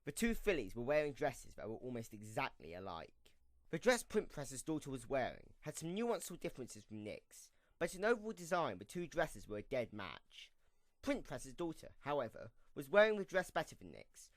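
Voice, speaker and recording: A man's voice: mid-range voice, sing-song, normal speed, no echo. A man reading scripted story narration for a fan audio drama.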